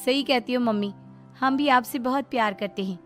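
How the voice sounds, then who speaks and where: A woman's voice: a little high, normal speed, fairly bright tone, soft background music, natural voice. A woman voicing a caring, agreeable young adult daughter in a cartoon story, speaking earnestly.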